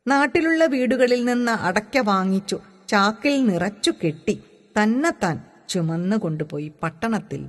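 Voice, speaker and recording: A woman from reads literary prose aloud as a measured, deliberate storyteller. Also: medium pitch. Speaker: audiobook reader. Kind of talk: expressive literary narration. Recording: long hall-like reverb.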